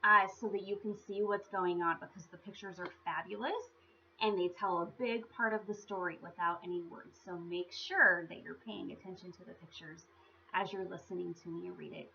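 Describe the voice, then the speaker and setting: A woman's voice: fairly low pitch, steady tone, normal speed, creaky, bright, forceful tone. A woman hosting storytime, chatting casually and informally as she introduces a picture book. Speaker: storytime host. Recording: dull lo-fi sound, faint noise.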